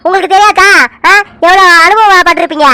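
Woman doing a sweet-talking young daughter-in-law, high bright voice. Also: quick pace, no echo. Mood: coaxing. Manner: persuasive, flattering.

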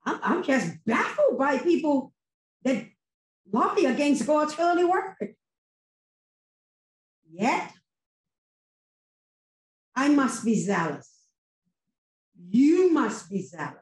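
A woman's voice: high voice, big pitch swings, slow, long pauses. A middle-aged woman giving a fervent, preacherly response to a sermon, full of emphatic rhetorical questions, on a video call. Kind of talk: impassioned post-sermon testimony. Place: home room with virtual background. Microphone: laptop webcam on video call. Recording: echoey video call audio.

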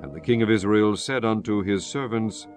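A man's voice: fairly low pitch, moderate pace, over music. A man narrating scripture in a solemn, formal reading style for a dramatized Bible.

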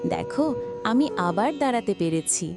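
A woman's voice: fairly high pitch, big pitch swings, moderate pace, over music. A woman voicing a frail, pious old village grandmother with aching legs in a cartoon. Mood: amazed, grateful.

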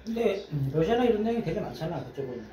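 A man with a fairly high voice thinks aloud in a relaxed way, with fillers. He sits some way from the mic in an echoey restaurant. Dishes and cutlery clatter loudly behind him. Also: fast talker, music in the background. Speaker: friend at the table. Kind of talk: casual chat over a meal. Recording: distant voice in a noisy echoey restaurant.

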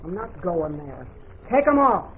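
A woman's voice: lowish voice, sing-song, unhurried. An actress playing a tough, protective older frontier woman in a 1940s radio drama, blunt, folksy and commanding. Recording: narrow-band, hissy old radio recording.

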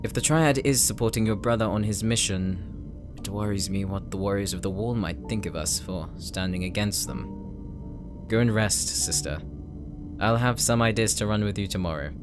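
A man's voice: lowish voice, normal speed, natural voice. A man playing a serious, wary, dutiful brother in an anime-style audio drama, speaking earnestly and formally. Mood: wary, concerned. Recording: prominent music behind the voice.

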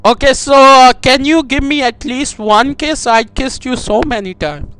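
A man making a comedic prank phone call, talking in a halting, coy, over-affectionate way. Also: very high-pitched, a bit bright, clean tone, dry recording. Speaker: prank caller.

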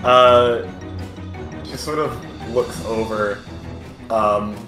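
Halting male game master, roomy sound. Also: medium pitch, fairly even intonation, over music. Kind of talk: improvised tabletop game narration.

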